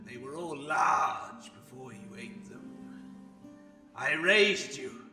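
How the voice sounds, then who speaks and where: A man's voice: on the high side, vocal fry, full character voice. A man voicing a proud, scheming ratman warrior in fantasy character acting, theatrical and emphatic. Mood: fawning pride. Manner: theatrical, emphatic, swinging from hiss to shout. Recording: echoey room with faint music.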